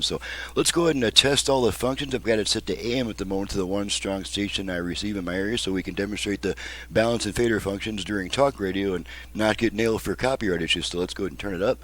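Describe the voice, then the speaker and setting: A man's voice: low voice, fast. A man giving a casual hands-on demonstration as a repair technician, explaining methodically in plain words, step by step. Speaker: radio repair technician, video host.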